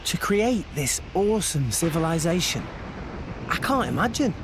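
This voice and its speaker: An actor playing an earnest, excitable teenage boy hero in a video game. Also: on the high side, normal speed, noisy background. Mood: curious, awed. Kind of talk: video game cutscene acting. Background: music.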